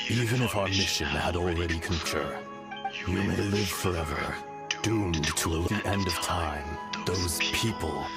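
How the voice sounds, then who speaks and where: An actor playing an ancient, weary male earth dragon in a game cutscene, speaking slowly and solemnly. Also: low-pitched. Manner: slow, solemn and grave. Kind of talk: video game cutscene dialogue. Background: orchestral game music.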